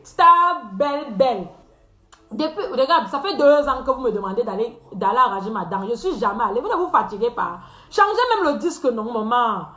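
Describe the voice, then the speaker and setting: Woman, live-stream host, high mocking voice, echoey room, teasing and laughing. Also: expressive, moderate pace, sharp tone. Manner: teasing, laughs often, repeats phrases.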